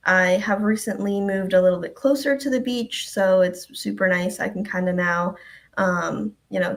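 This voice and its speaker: A woman's voice: mid-range voice, vocal fry. A young woman from Ecuador, a health department coordinator, chatting casually as a guest on a live stream with many ums.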